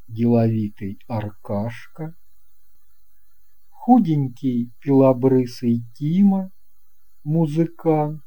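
A narrator reading a scripted children's story in measured, deliberate short phrases. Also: mid-range voice, warm tone, rough recording.

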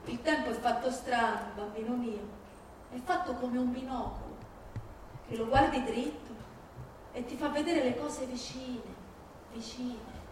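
A woman's voice: on the high side, steady tone, unhurried, lightly acted. A woman playing a grieving, bitter mother speaking to her dead son in a theatrical monologue. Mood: wistful, subdued. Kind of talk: theatrical dramatic monologue in a staged reading. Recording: very echoey, distant, faint noise.

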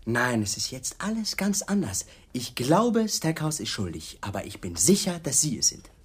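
A pushy male police detective in a radio crime drama. His voice is husky and swings widely up and down as he probes with mock politeness and sly insinuation. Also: mid-range voice, very animated, normal speed. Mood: confident.

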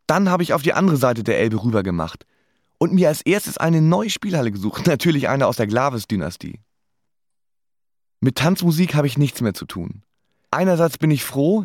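Male narrator, resigned and matter-of-fact, lightly raised pitch, close dry studio. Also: no echo. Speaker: narrator of an autobiographical radio play. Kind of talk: scripted first-person narration.

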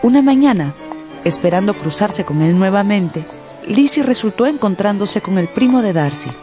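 A woman's voice: medium pitch, lively intonation, normal speed, music in the background. A woman narrator reading scripted storytelling for a radio drama.

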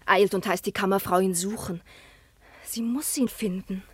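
An actress playing a proud, steadfast young princess in a classic radio drama, formal and declamatory. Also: female voice, mid-range voice, steady tone, moderate pace, slightly rough, dry recording, close to an everyday voice. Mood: agitated, worried.

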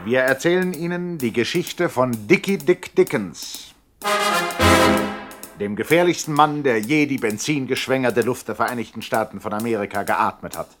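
A man with a medium-pitched voice narrates a radio drama. His delivery is scripted and declamatory, treating everything with mock-epic solemnity. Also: normal speed, loud music behind the voice.

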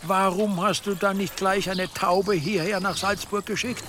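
An actor playing a sceptical, condescending medieval archbishop with a measured, sardonic manner in a radio drama. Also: male voice, high-pitched, fairly even intonation, a bit bright, slight background noise.

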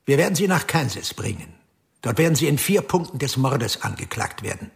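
A man's voice: medium pitch, big pitch swings, brisk, gravelly. An actor playing a stern male police officer who reads out formal charges.

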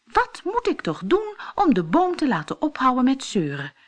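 A woman's voice: high-pitched, wildly swooping pitch, moderate pace, pitched above the performer's own voice, exaggerated voice. A woman voicing a sensible, exasperated female hedge in a storybook, with an emphatic manner. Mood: sighing, puzzled. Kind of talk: fairy-tale storybook voice.

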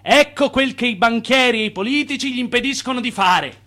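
A high-voiced, showy swindler financier. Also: male voice, fairly quick, bright, forceful tone. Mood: fiery, indignant. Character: Charles Ponzi, self-assured Italian immigrant financier and swindler. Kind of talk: radio drama acting.

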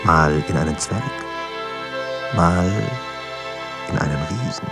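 A man narrating a literary radio drama in a measured, solemn way, pausing between phrases. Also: low voice. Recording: studio narration over clear music. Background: music.

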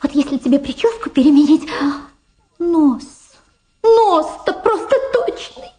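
A woman's voice: high voice, lively intonation, unhurried, warm tone. An actress playing a gushing, vulgar, middle-aged former showgirl in a radio drama, rambling and exclaiming in colloquial speech. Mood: gushing, excited. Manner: effusive, rambling, colloquial, exclaiming and repeating herself. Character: gushing, vulgar, faded American showgirl reunited with her daughter. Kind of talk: Soviet radio drama acting.

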